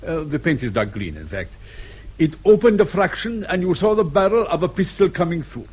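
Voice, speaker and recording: An actor playing a suave, sceptical police colonel in a radio drama, formal, precise and sarcastic. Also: male voice, fairly high pitch, big pitch swings, a little fast, darkish tone, slightly rough. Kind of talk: British radio drama acting.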